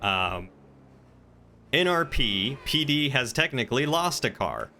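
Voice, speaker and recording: A man's voice: mid-range voice, very animated, slow pace, pushed and bright. A man streaming a video game, chatting casually and musing aloud to himself as he plays. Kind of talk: casual livestream chat while gaming.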